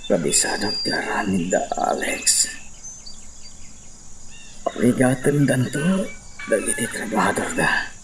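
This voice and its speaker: An actor playing a grave, concerned mine worker, serious and urgent, in a radio drama. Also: male voice, on the low side, very animated, unhurried, long pauses, noisy background.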